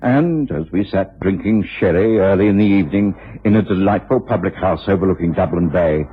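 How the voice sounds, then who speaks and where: Genial gent narrating an old radio play, lowish gravelly-husky voice, muffled sound w faint music. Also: male voice. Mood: pleasant, contented. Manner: measured, courteous storytelling. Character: genial, well-mannered gentleman companion and storyteller. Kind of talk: first-person radio drama narration.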